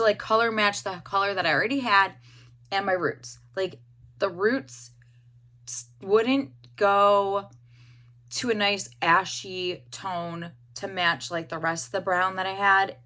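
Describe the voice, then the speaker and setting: A young woman with a hoarse voice, casually reviewing a hair dye and rambling with lots of fillers. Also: mid-range voice, pushed and bright. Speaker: beauty vlogger.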